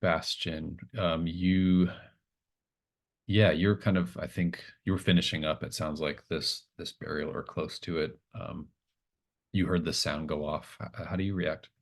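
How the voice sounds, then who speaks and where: A man with a very low voice runs a tabletop roleplaying game. He improvises scenes in halting, tentative phrases, thinking aloud as he goes. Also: steady tone. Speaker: game master.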